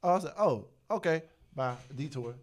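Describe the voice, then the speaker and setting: A man's voice: on the high side, lively intonation, moderate pace. A man chatting casually as a podcast host, giving blunt opinions in slangy, sometimes profane language. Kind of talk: casual chat.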